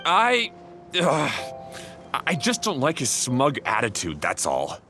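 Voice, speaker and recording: A man's voice: medium pitch, wildly swooping pitch, slow pace, over music. A man playing a defensive, grumbling young man, a bickering brother, in a video game.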